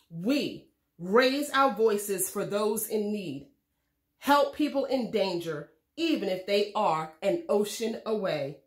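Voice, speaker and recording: A woman reading a children's storybook aloud in a measured, expressive way. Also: mid-range voice, reverberant. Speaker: read-aloud host reading a children's book. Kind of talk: scripted storybook narration for children.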